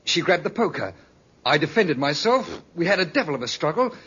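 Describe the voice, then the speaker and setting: An actor playing an evasive, nervous male crook in a 1950s radio drama, halting and defensive. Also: fairly high pitch, sing-song, bright, forceful tone, slightly rough, exaggerated voice. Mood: agitated confession. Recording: muffled 1950s radio recording.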